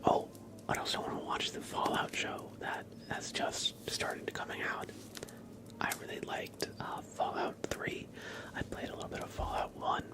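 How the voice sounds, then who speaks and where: A man doing casual ASMR chat in a hushed, mostly whispered voice, rambling gently. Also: a little slow. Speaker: ASMR livestreamer.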